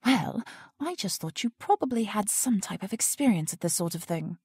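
A woman's voice: mid-range voice, expressive, normal speed, darkish tone, breathy edge, no echo. Strong-willed indignant woman, dry sound. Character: indignant, loyal female research-facility worker, strong-willed. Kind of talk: audio drama acting.

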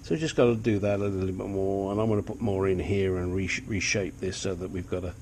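A man's voice: deep voice, fairly even intonation, fairly quick, darkish tone, slight background noise. Blunt, chatty older man in a garage. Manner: blunt, chatty, self-deprecating. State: sniffly. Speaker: hobbyist engine restorer. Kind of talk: casual workshop walkthrough. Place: cluttered home garage workshop.

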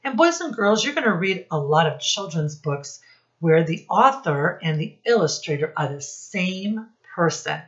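A middle-aged woman giving a read-aloud lesson to young children, speaking deliberately and instructively as their teacher. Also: fairly low pitch, big pitch swings, lo-fi sound. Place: small indoor room with posters.

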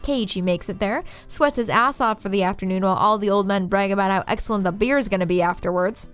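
A woman's voice: medium pitch, quick pace, clean tone. A young woman narrating a scripted story recap in a sardonic, informal, swearing style. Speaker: video essayist, narrator. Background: faint music.

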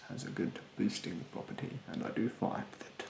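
A young man playing a rude, snobbish, fastidious facial therapist in a soft, half-whispered ASMR roleplay, haughtily polite and hesitant. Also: roomy sound, slight background noise, natural voice. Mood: fussy, instructive. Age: young adult. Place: bedroom, indoors. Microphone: close mic in front.

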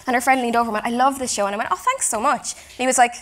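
A young woman tells stories at a rapid clip on a panel stage. Her voice is high and animated, with quick acted-out asides. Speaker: actress on a panel. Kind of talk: festival panel conversation. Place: stage in front of a live audience.

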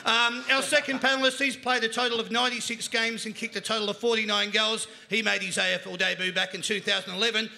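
A man's voice: high-pitched, flat delivery, fast, vocal fry, few pauses, sharp tone. A middle-aged Australian man hosting a live panel show on a stage, joking with his guests and quizzing them with a handheld mic. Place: indoor venue stage with a live crowd.

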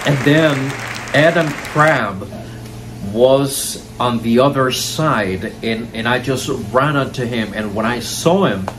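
A young man, speaking at a middling pitch to a nearby camera from a couch indoors. He tells his story eagerly and showers praise on the people he met. A steady hiss of noise sits under his voice. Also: takes it slowly, a crowd in the background. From Cuba. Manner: effusive, enthusiastic, heaps praise. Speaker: show host. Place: indoor room, seated on a couch.

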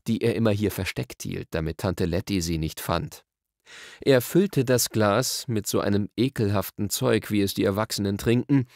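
A man reading a children's story as an expressive, scripted audiobook narration. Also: medium pitch, no echo, clean studio sound.

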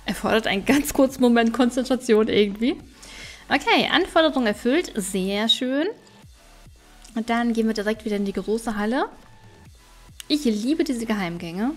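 A woman's voice: a little high, animated, moderate pace, pauses often, faint music behind. A woman giving casual let's play commentary, chatty and thinking aloud as she goes. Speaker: gaming streamer and let's play host.

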